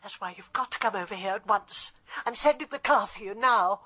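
An actress playing an insistent, fretful, middle-aged upper-class English country wife in a radio drama. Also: female voice, medium pitch, fairly quick, pushed and bright, husky. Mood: breathless, excited. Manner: emphatic, fretful.

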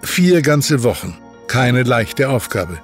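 A man's voice: medium pitch, very animated, slow pace, faint music behind. A man narrating a scripted, documentary-style text as the narrator of an audio production.

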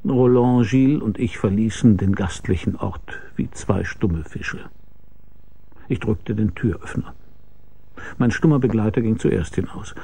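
Gravelly, mellow private detective. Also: male voice, fairly low pitch, measured pace, exaggerated voice. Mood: wry narration. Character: wry hard-boiled male private detective. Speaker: lead actor and narrator. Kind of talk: radio crime drama, first-person narration.

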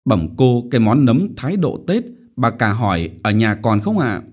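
A man's voice: mid-range voice, big pitch swings, unhurried, darkish tone, pitched above the performer's own voice. A man performs a timid young servant girl in a storybook reading. Her voice is raised well above his own and sounds hesitant and deferential.

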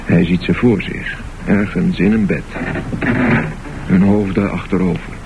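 A man narrating a scripted radio drama in measured, deliberate short phrases. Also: sits low, fairly even intonation, vocal fry. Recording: noisy, dull lo-fi radio recording.

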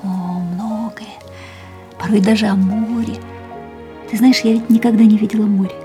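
A woman's voice: medium pitch, little pitch movement, normal speed, warm tone, faint music behind, natural voice. An actress playing a dreamy, independent woman in love in a radio drama, with a teasing, wistful way of talking. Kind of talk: radio play acting.

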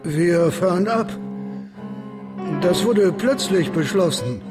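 Plain coachman, high voice, very slow halting speech, radio drama, music behind. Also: animated. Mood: resigned, uneasy. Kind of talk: German radio drama acting.

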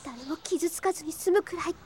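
High-voiced earnest young princess knight. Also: female voice, fairly quick, a little mellow, a little hiss or hum. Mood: resolute. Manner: earnest, impassioned. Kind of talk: anime audio drama acting. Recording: studio drama with music behind. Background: music.

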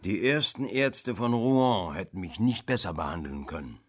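An actor playing a grateful older farmer, a recovering patient, in a literary radio play. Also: male voice, low voice, animated, normal speed.

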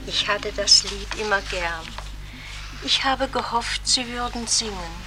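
A woman's voice: mid-range voice, unhurried, lightly acted. Actress as mild townswoman in noisy recording. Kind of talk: theatrical radio drama acting.

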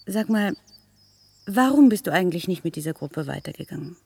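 Animated, curious visitor. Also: female voice, lowish voice, a little fast, creaky, slightly rough, dry recording, full character voice. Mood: inquisitive. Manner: inquisitive, conversational. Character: curious, wondering woman visitor at animal park. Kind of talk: naturalistic German radio play acting.

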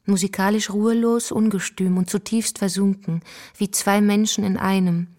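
A woman narrating a radio feature, calmly reading terse notes and letters aloud. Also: mid-range voice, moderate pace. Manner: terse, reads fragmentary keywords.

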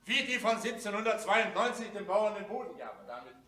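An impassioned male speaker in a radio drama, addressing his comrades. His high, forceful voice is declamatory and echoes around the room. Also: very animated, brisk, full character voice. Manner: ringing, declamatory. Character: impassioned revolutionary speaker hailing the communards. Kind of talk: German radio play oratory. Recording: roomy, echoing.

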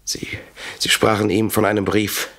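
A man playing a desperate captive lawyer in a radio play, pleading for his life in a halting, repetitive way. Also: fairly low pitch, little pitch movement, fairly bright tone, natural voice. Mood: fearful, subdued. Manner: pleading, halting, repeating himself. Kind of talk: German radio play acting.

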